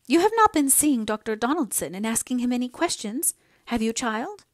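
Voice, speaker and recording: Ailing middle-aged mother for storybook drama played by a female reader: plaintive, emotional, quick to take offence, raised pitch. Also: expressive, no room sound, clean studio sound. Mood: displeased. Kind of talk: dramatised audiobook dialogue.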